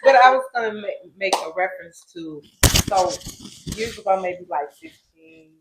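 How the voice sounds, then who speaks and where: A woman co-hosting a casual interview, relaxed and laughing as she tells her own stories. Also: medium pitch, normal speed, heavy background noise. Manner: relaxed, laughing, easygoing storyteller. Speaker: co-host.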